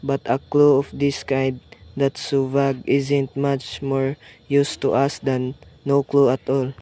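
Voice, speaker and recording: A man playing a weary, dismissive police desk sergeant in a radio play, talking down to the caller in a flat, patronizing way. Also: mid-range voice, slow, close to an everyday voice.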